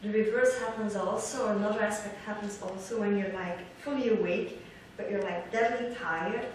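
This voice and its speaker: Echoey, distant woman lecturing haltingly. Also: mid-range voice. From Belgium. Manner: halting, searching for words, repeats words. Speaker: academic researcher giving a keynote lecture. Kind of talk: conference lecture, explanatory. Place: large lecture hall, indoors, at a lectern. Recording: echoey hall from far off, background noise.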